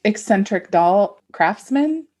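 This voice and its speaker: A woman's voice: mid-range voice, expressive, slow, warm tone. A middle-aged woman co-hosting a podcast, chatting casually and reminiscing in an informal, reflective way. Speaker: podcast co-host. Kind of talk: casual chat.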